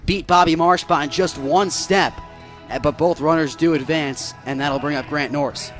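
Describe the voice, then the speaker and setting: A man with an American accent and a slightly high voice calls baseball live for radio. He keeps a measured delivery between pitches and pushes harder with excitement when the ball is in play. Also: soft background music. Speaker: play-by-play broadcaster.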